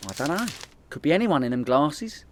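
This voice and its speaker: An actor playing a hopeless, boastful petty crook with a London accent in a comedy radio play. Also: male voice, on the high side, big pitch swings, fairly quick, slight background noise. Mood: worried.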